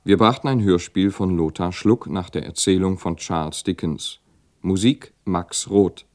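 A man's voice: sits low, unhurried, darkish tone. A man working as a radio announcer, formally reading scripted credits.

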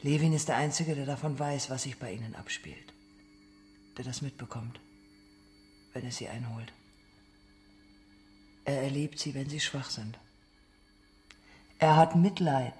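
An actor playing a relentless, accusing interrogator in a German radio drama, speaking in short pointed phrases. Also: male voice, mid-range voice, unhurried, pauses often, darkish tone, a little hiss or hum, natural voice. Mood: probing, controlled.

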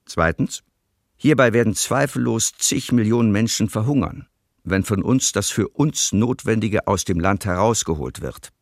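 Male official: detached, clipped document read, gravelly, dry radio docudrama sound. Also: lowish voice, animated, normal speed, no room sound. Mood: cold, detached. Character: cold bureaucratic official reading a secret memo.

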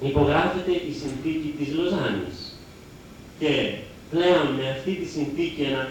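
Male lecturer, high and very animated voice, emphatic explainer with halting self-corrections, roomy live-hall sound. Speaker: history lecturer. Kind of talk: public lecture, semi-improvised explaining. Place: lecture hall, speaking to an audience. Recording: very echoey hall, distant mic, faint noise.

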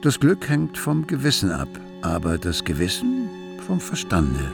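A man narrating a literary radio play in a measured, dramatic storytelling manner. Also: medium pitch, wildly swooping pitch, gravelly, exaggerated voice. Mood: grave narration. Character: literary narrator telling of a poor dreaming artist. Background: music.

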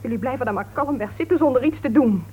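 A woman's voice: high voice, lively intonation, quick pace. An actress playing an indignant, self-satisfied woman crime novelist in a radio play, scornful and argumentative. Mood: indignant, accusing. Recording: tinny, noisy old radio play recording.

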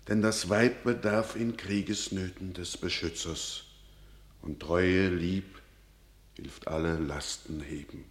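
An actor playing an old, pious peasant father, brooding and anxious, declaiming classical verse solemnly in a radio drama. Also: fairly low pitch. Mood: grave, solemn.

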